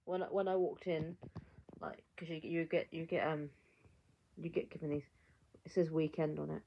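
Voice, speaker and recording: A young woman talking to her phone in her bedroom late at night, her voice hoarse and fading from screaming. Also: sits low, fairly even intonation, normal speed, muffled recording, a little hiss or hum. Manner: chatty, tired late-night talk. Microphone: phone camera held close.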